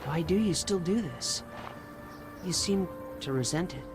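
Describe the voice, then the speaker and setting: A man's voice: a little high, expressive, unhurried. An actor playing an earnest, loyal teenage Spartan boy in a video game, questioning and sincere. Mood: earnest, curious. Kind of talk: cinematic video game acting. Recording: music behind the voice.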